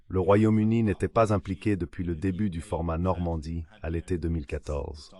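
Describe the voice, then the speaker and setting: Male interview dub, very deep register, measured analytical read. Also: darkish tone. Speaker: AI voice-over translating an interview guest. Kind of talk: dubbed translation of political analysis.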